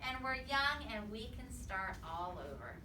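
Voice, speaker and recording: A woman's voice: a little high, lively intonation, unhurried, bright, forceful tone. A woman reading a picture book aloud to a young child, explaining simply and prompting with questions. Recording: echoey room, distant mic, clear background noise.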